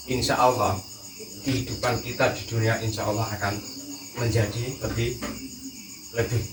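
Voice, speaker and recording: A man giving a religious reflection in measured, short phrases as a discussion guest. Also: fairly low pitch. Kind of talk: religious reflection in informal talk. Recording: distant, echoey, noisy recording with insects.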